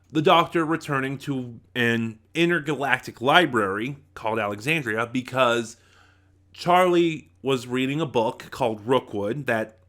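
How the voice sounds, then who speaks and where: A man giving an informal spoken review as a video host, often halting and rephrasing himself as he thinks aloud. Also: medium pitch, a bit bright.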